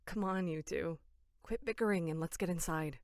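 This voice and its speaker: A woman's voice: fairly low pitch, moderate pace, dry recording, clean studio sound, natural voice. A woman playing a serious, determined young camp counselor in a horror audio drama, earnest and firm. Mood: focused. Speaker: voice actor.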